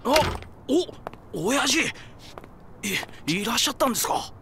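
A man's voice: very high voice, animated, a little slow, creaky, background noise, full character voice. An actor playing a young male rookie gangster, hot-headed but nervous and hesitant, in a video game cutscene. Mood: startled, flustered. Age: young adult. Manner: hesitant, stammering, deferential.

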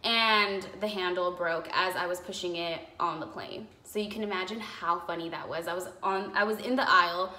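A young woman in her twenties chatting to camera in a vlog, rambling with lots of fillers, her voice slightly raspy from a cough, filmed on a phone in a living room. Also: fairly low pitch, steady tone, moderate pace, few pauses, sharp tone. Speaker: vlogger. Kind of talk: casual chat to camera. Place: apartment living room, indoors. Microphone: phone camera in front, seated. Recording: echoey room on a phone mic.

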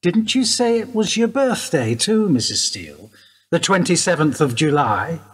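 Man playing a husky, higher-voiced police detective. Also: very animated. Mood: probing. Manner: measured questioning. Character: sympathetic male police detective questioning a widow. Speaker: actor in a radio play. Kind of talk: radio drama dialogue.

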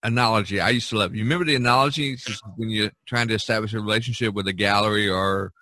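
Rambling low-voiced man hosting a podcast. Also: bright, forceful tone. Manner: rambling, halting, many fillers. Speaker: podcast host. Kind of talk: casual video-call chat. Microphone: video call.

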